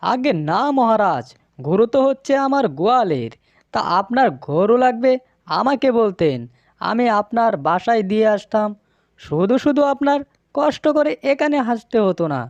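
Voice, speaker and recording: A high-pitched, dry-sounding male fox trader from a cartoon, voiced by a woman. He is a sly village husband, fawning to his betters and slippery with his answers. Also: sing-song, normal speed, a little mellow, no echo, dull audio. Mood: fawning, evasive. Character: male fox cattle seller, wily, obsequious village husband. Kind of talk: cartoon dialogue.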